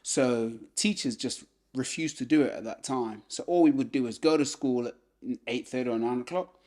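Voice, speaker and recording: A man, a podcast guest telling his life story in a relaxed way, filled with "you know". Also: medium pitch, normal speed. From England. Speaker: podcast guest, former boxer. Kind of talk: casual life-story interview.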